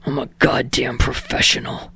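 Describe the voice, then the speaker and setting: Woman acting young man, low breathy growl, curt, venomous, foul-mouthed, dry close sound, audiobook dialogue. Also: wildly swooping pitch, moderate pace, bright, forceful tone, no room sound, full character voice. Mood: hostile. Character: young man, foul-mouthed, snarling, hostile film actor.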